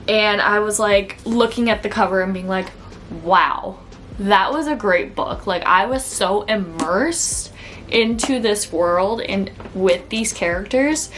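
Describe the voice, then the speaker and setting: A woman's voice: medium pitch, fairly bright tone, a little hiss or hum. A woman talking in an informal, conversational way, like a book vlogger chatting casually to viewers. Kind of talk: casual chat to camera, book review.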